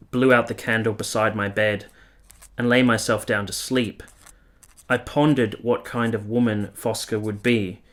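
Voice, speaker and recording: Conflicted 1870s military man, radio drama narrator. Lower register, measured literary delivery, formal and introspective. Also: a bit bright. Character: brooding, conflicted 1870s soldier narrating his obsession. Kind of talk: literary first-person radio play narration.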